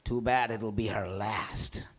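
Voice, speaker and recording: A man's voice: lowish voice, fairly even intonation, slow. A man acting a smug, argumentative schemer in a live comedy table read, with a mocking, emphatic delivery. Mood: smug taunting. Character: smug, argumentative, taunting male bar-owner schemer.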